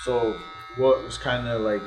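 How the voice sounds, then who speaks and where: A man's voice: medium pitch, steady tone, a little slow, over music. A man hosting as a barber, asking rambling questions full of fillers while cutting hair in a barbershop. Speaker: host and barber. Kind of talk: casual interview chat.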